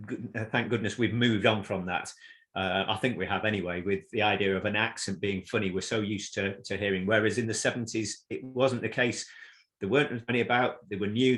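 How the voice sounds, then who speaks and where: A man in his fifties from south Manchester who speaks in a measured, reflective way as a podcast co-host, with hesitant ums. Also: on the low side, fairly bright tone. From south Manchester, England. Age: 50s. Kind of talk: casual chat.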